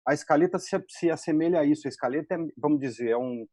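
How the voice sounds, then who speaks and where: A man with a Brazilian accent and a slightly raised pitch explains things to a panel in dry, echo-free sound. His delivery is conversational and deliberate, circling back over phrases as he builds an analogy. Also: mellow. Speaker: guest panelist, writer.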